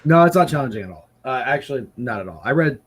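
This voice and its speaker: A man in his twenties hosting a casual chat, excitable and quick, swearing freely. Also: mid-range voice. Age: 20s. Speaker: podcast host.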